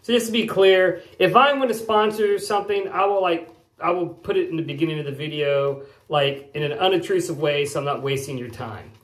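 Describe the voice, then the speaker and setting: A man's voice: on the high side, a little fast. Chatty man in his forties, echoey bathroom. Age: 40s. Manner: chatty, rambling, folksy explanations. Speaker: shaving vlog host. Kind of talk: casual chat while shaving. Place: small home bathroom, indoors.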